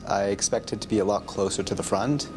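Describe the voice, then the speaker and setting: A man's voice: fairly low pitch, fairly quick. A man taking part in an experiment, speaking candidly and thoughtfully in an interview. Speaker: experiment participant. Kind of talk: interview reflection. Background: music.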